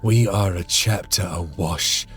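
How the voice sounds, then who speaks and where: An actor playing a grim veteran space marine sergeant, brooding and stern, with a husky voice. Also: male voice, deep voice, slow, a bit bright, heavily acted. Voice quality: gravelly, husky. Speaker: sergeant narrator. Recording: game cutscene with music.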